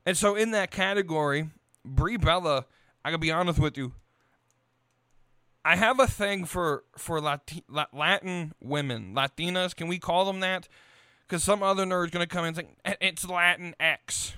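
A male podcast host with a fairly high, smooth and clear voice. He talks casually and opinionatedly, blunt and quick to swear. The sound is dry and close. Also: sharp tone, dry recording. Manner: blunt, profane.

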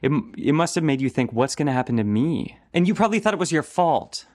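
A man hosting a podcast interview, playful with quick laughing asides. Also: fairly high pitch, animated, a little mellow. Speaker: podcast host. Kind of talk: casual interview chat.

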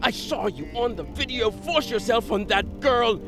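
A man's voice: extremely high pitch, animated, normal speed. An actor in an audio drama playing a betrayed, anguished and volatile man making accusations. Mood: bitter fury. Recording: music behind the voice.

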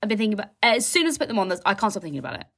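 Husky woman with a cold. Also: mid-range voice, very animated, rapid-fire, a bit bright. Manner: chatty, candid and swearing. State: has a cold, a bit snotty. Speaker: podcast co-host. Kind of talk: casual chat.

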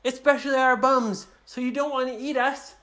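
A woman's voice: sits high, steady tone, moderate pace, a bit bright, voice pushed up. A woman voicing cheeky young children in a story, with a blunt, mock-innocent, teasing manner.

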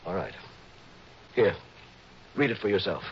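A nervous, stammering man of about fifty in an old radio play. His voice is low but rises and falls anxiously, and the muffled broadcast carries a faint hiss. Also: very animated, unhurried, vocal fry, heavily acted. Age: 50s. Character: worried, stammering wealthy middle-aged man. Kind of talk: 1960s radio drama acting.

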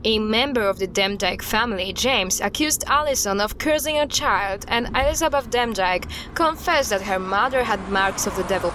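A woman playing an earnest, guarded expert recounting dark history in an audio drama styled as a recorded interview, with a measured, serious delivery. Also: mid-range voice, slight background noise, close to an everyday voice. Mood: grave, measured.

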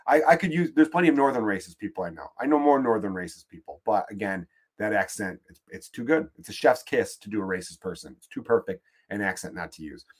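A man with a Chicago accent rants through a comic podcast monologue, swearing freely and circling back on his own sentences. His voice sits a bit low, and he sounds fed up, loose and chatty. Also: animated, fast talker, slightly rough. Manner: profane, exasperated, rambling. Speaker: podcast host. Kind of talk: comedic monologue rant.

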